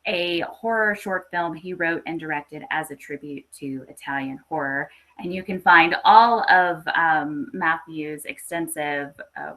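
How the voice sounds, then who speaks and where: Woman podcast host, slightly low voice, reads stories and chats, pretty enthusiastic. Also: sharp tone, low-quality recording. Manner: enthusiastic, chatty. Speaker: podcast host and story reader. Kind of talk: dramatic story reading, then casual live hosting.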